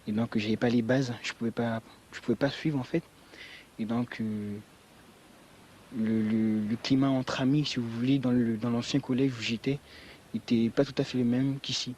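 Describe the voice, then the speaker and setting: A man's voice: medium pitch, fairly even intonation, moderate pace, a little mellow. A young man from French Guiana speaks in a mid-range voice as an interviewee. He tells his own story earnestly and emphatically, leaning on filler phrases, over faint background noise. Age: young adult. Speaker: student interviewee. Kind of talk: spontaneous personal account in interview.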